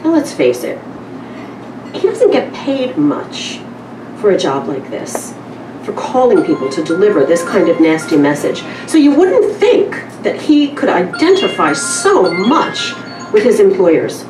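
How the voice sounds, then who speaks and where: A woman's voice: lowish voice, very animated, unhurried, over music. A woman presenting a literary reading and chatting informally to an audience, speaking away from the microphone. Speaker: publisher and editor presenting a reading. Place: live event room, away from the microphone. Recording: roomy, distant live recording with noise.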